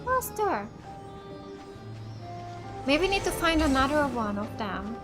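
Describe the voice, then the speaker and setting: A woman from Finland with a high voice and an unhurried pace talks through a video game in a casual let's play style. She thinks out loud and reacts to what happens, with game music clearly playing behind her. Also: very animated. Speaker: gaming YouTuber.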